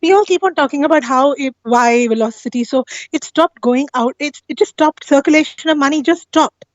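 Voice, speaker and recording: A woman's voice: high voice, fairly quick, dry recording, lo-fi sound. A woman explaining things in casual discussion, insistent and often checking that the listener agrees. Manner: insistent, often seeks agreement with tag questions. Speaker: guest on a live talk stream.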